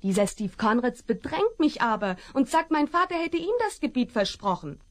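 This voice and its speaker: A frightened young rancher's daughter in an old radio play. Her fairly high voice rises and falls a lot, pleading and tense with worry. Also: sing-song, moderate pace. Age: young adult. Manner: pleading, anxious, tender. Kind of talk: 1970s German radio play acting.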